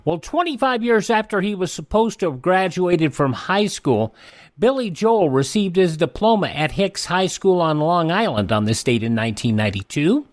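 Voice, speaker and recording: A man hosting a radio show, reading a this-day-in-history rundown with offhand asides and quick self-corrections. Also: sits high, sing-song, no room sound, clean studio sound. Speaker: radio host.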